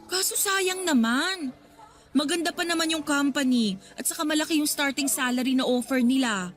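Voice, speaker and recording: A woman's voice: high voice, normal speed, fairly bright tone. An actress playing an exasperated, sulky young working girlfriend with a Filipino accent, huffy and nagging in a radio drama. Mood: questioning. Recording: background music under the voice.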